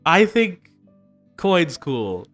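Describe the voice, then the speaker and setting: A man chatting casually as game master after a tabletop session on a video call, halting and laughing as he talks. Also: fairly high pitch, big pitch swings, fairly bright tone, faint music behind. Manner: laughing, halting, self-deprecating. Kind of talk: casual post-session chat.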